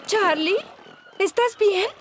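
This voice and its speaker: A woman's voice: very high voice, slow pace, a little hiss or hum. An actress voicing a kind, reassuring mother in a Latin American Spanish children's cartoon dub. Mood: worried. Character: kind, reassuring mother of a small-town family. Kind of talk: animated children's series dub. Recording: loud music behind the voice.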